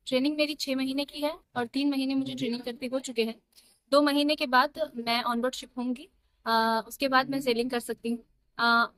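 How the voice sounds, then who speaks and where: A woman's voice: sits high, fairly bright tone, no echo, rough recording. A young woman from Himachal Pradesh with an Indian accent, giving a testimonial as a trainee and mixing in English phrases. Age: young adult. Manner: mixes English words and phrases into speech. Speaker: merchant navy trainee. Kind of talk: spoken testimonial to camera.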